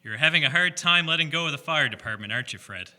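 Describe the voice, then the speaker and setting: Hearty male constable for radio play, very sharp bright tone, animated man acting. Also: on the high side, quick pace. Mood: friendly concern. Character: small-town police constable, hearty, dutiful man.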